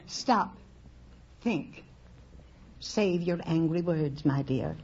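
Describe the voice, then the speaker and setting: A woman's voice: deep voice, lively intonation, extremely slow, darkish tone, slightly rough. An older woman answering unscripted as a contestant on a 1940s radio quiz. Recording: dull vintage radio recording.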